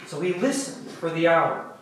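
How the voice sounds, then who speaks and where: Guy narrating a play, high voice, far from the mic, bit of background noise. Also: expressive, moderate pace. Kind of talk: scripted narration of amateur play reading. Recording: very echoey room, distant mic, faint noise.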